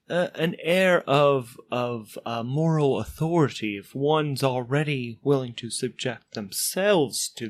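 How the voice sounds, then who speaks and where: A man's voice: medium pitch, natural voice. A man voicing a polite, fretful passenger in a tabletop story, hesitant and wordy, with formal phrasing. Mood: earnest, hedging. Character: Ulan, polite, fretful male passenger explaining awkward matters.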